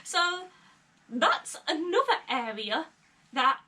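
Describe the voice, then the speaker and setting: A woman's voice: sits high, sharp tone. A young woman vlogging candidly from her bedroom, with an occasional stutter and nervous laughs. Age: young adult. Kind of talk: personal confessional vlog. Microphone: camera mic at a short distance. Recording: slightly distant camera mic.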